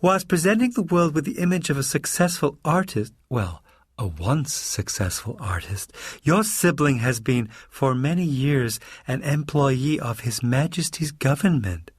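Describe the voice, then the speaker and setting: A theatrical American villain voiced by a man reading a story, pitched above the reader's own voice. He is smug and pompous, with sharp menace under the genteel phrasing. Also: expressive, measured pace, hardly any pauses, no room sound, clean studio sound. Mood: gloating.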